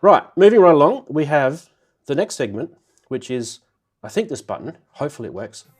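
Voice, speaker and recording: A man's voice: fairly high pitch, big pitch swings, moderate pace, loud music behind the voice. A man hosting a casual chat show, telling jokes and laughing at them. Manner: jokey, laughs at own jokes. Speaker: podcast host.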